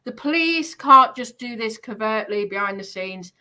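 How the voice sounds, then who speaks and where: A woman's voice: a little high, expressive, moderate pace, sharp tone. A woman in casual debate, speaking insistently and repeating her phrases.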